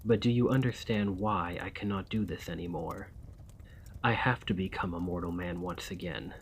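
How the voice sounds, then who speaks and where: A man playing a weary, courtly, centuries-old vampire and former soldier in an ASMR roleplay, speaking in formal, old-fashioned, measured diction. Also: deep voice, a little hiss or hum. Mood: weary, pleading.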